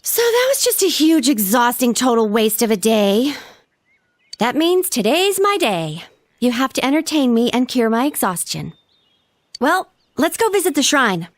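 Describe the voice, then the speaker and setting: High-pitched, pampered teenage girl. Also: very animated, a little slow, no room sound. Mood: demanding, teasing. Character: pampered, blunt, cute teenage girl, demanding and playful with her boyfriend. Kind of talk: video game dialogue acting.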